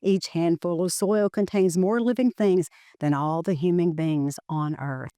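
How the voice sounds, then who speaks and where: A woman reads a children's educational picture book aloud in measured, deliberate phrasing. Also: lowish voice, warm tone, no echo, studio quality.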